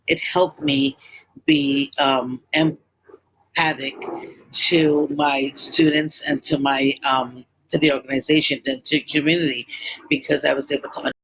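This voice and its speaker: A woman's voice: low voice, fairly even intonation, measured pace, a bit bright, low-quality recording. A woman from Guyana, a school leader, talking as a guest in an interview and often repeating words while she thinks. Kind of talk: interview conversation.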